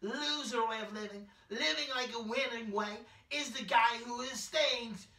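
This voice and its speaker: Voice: mid-range voice, normal speed, bright, forceful tone, close to an everyday voice. A performer voicing a boastful, nervous male immigrant poet who talks in broken English and preaches in blunt, repetitive lines, in a prerecorded comedy bit. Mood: scolding. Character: boastful male immigrant poet named Sergio, anxious about deportation. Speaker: comedy character reciting poems. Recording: echoey, distant, music underneath.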